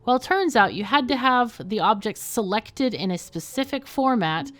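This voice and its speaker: A woman's voice: a little high, animated, moderate pace, a bit bright. A woman chatting casually and thinking aloud as she plays a game on a livestream. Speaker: streamer and game player. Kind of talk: casual livestream gameplay commentary.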